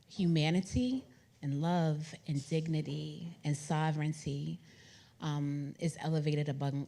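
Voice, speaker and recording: A woman giving reflective remarks as a panelist, speaking in an earnest, measured manner. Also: low voice, darkish tone. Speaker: symposium panelist.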